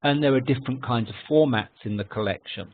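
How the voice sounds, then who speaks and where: A man's voice: mid-range voice, fast. Formal male lecturer on a phone-like line. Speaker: editorial consultant giving a lecture. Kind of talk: scripted history lecture with slides. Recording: narrow phone-like online call audio.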